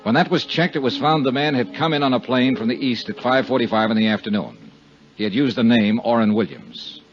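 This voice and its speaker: A man's voice: mid-range voice, animated, fairly quick, close to an everyday voice. A man playing a tough, terse American insurance investigator in a 1950s radio detective drama. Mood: dry narrating. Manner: terse, matter-of-fact. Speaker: actor playing lead investigator and narrator. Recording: muffled 1950s radio recording with music.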